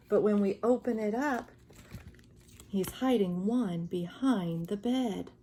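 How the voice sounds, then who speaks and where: A woman with a high-pitched voice reads a story to young children. Her delivery is slow and expressive, with a sing-song lilt. She speaks directly to her little listeners as a teacher would. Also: slight background noise. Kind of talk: read-aloud for young children.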